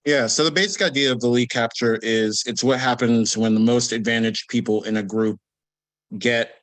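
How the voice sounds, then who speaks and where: A man on a video call, a guest author explaining an idea in a measured, hesitant way with many pauses. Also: mid-range voice, fairly even intonation, fairly bright tone, low-quality recording. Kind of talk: academic explanation.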